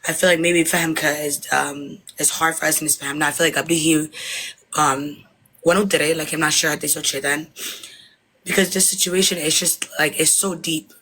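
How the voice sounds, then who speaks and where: A woman's voice: low-pitched, fairly even intonation, a little fast, pushed and bright, breathy edge, rough recording. A woman in her twenties, an interviewee from Somalia, talking hesitantly and switching between Somali and English mid-sentence. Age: 20s. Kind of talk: casual conversation.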